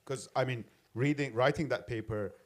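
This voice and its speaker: A man's voice: medium pitch, normal speed. A man from Turkey, a senior lecturer asking a long audience question with many fillers and restarts.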